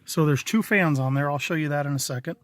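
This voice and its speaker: Man with higher-pitched voice, casual walkthrough. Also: fast. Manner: plain-spoken, matter-of-fact, folksy. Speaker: DIY installer presenting own work. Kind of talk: casual video walkthrough narration. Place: in and around a parked vehicle.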